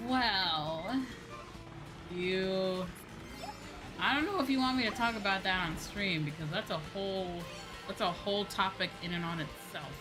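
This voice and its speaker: A woman's voice: mid-range voice, measured pace, music in the background. A woman streamer chatting casually and informally to viewers, musing aloud and circling back over her phrases. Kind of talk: casual chat while livestreaming a video game.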